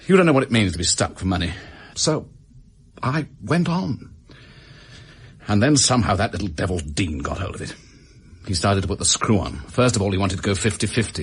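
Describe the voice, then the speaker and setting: An actor with an English accent playing a desperate, bitter office worker making a halting confession in a radio drama. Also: male voice, on the low side, husky.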